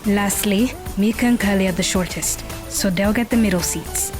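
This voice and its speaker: A woman's voice: medium pitch, normal speed, lightly acted. A woman playing a bossy, logical, argumentative teenage girl in an audio drama. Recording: music bed under the voice.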